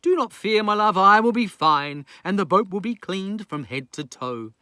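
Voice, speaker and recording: A man's voice: sits high, animated, moderate pace, bright, forceful tone, no room sound, studio quality. A man playing a lazy, scheming pirate captain in a children's story, declaiming in a theatrical, comic way. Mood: placating.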